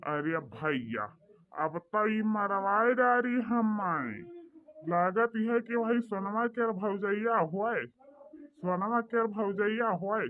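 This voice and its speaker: A woman voicing a young village woman with failing eyesight in an Awadhi cartoon, with an Awadhi accent and plaintive, drawn-out speech. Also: medium pitch, lightly acted. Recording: tinny, phone-like line.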